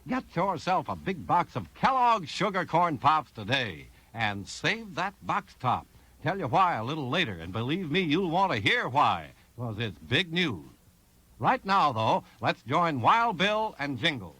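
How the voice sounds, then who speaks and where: Male vintage radio ad announcer, enthusiastic, bright and husky, very dry sound. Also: a little high, very animated, unhurried, dry recording. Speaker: commercial pitchman. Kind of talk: 1950s radio ad read. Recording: dry 1950s radio recording.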